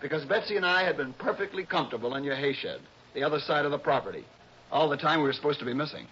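A man's voice: a little high, animated, racing, sharp tone. A man playing a sneering, cold-blooded young murderer in a 1960s radio drama, smug, precise and mocking. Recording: dull, noisy old radio broadcast.

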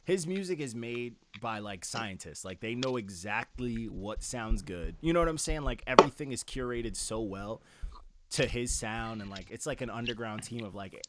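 A man's voice: medium pitch, lively intonation, normal speed, heavy background noise. A man in a casual album review chat, talking as a host in a slangy, profane, enthusiastic way. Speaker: music review show host.

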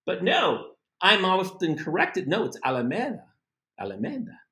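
Chatty middle-aged guy from the US teaching, high voice that jumps around a lot, roomy echo. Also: normal speed. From United States. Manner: animated and chatty, with asides and laughs. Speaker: language teacher. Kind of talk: casual spoken lesson.

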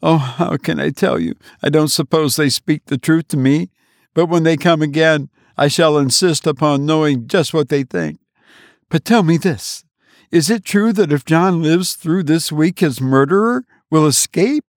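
A man reading an audiobook, voicing a distraught, vengeful wife with pleading, shrill outbursts. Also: unhurried, dry recording, studio quality. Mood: desperate. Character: distraught middle-aged wife, vengeful and desperate. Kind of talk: audiobook character voice.

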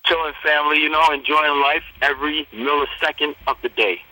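A man's voice: a little high, steady tone, a little fast, pushed and bright, no echo, low-quality recording. A young man from Trinidad, a rapper, talking confidently and promoting himself in a casual interview. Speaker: rapper guest.

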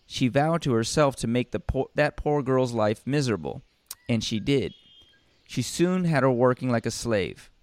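A man reading a storybook and breaking off into casual, rambling, deadpan joking asides. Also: medium pitch, dry recording. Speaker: storybook reader and comedian.

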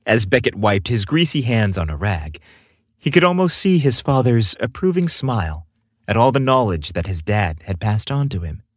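A man narrating scripted romance fiction in an expressive, dramatic storytelling manner. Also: lowish voice, normal speed, no room sound, studio quality.

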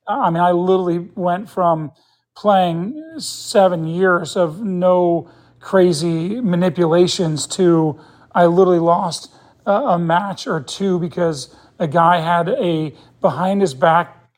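A man in his fifties, a guest in a casual sports interview, speaking in an earnest, opinionated way as he thinks aloud. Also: high-pitched. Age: 50s. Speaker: interview guest, pickleball player.

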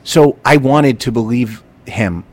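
A man hosting a podcast, talking in an animated, rambling way and often restarting his sentences as he thinks aloud. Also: mid-range voice, takes it slowly. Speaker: podcast host. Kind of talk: casual conversational chat.

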